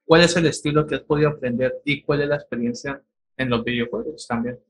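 A man hosts an interview over a video call. His questions wander and restart. His voice is a little husky. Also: medium pitch, poor audio. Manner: rambling, halting questions. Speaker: interview host. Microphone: video call webcam.

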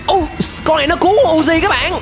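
A young adult man giving animated, exclamatory reaction commentary in slangy speech, close on a desk microphone. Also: very high-pitched, fast talker, vocal fry, soft background music. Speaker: reaction video host. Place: small indoor room, webcam setup.

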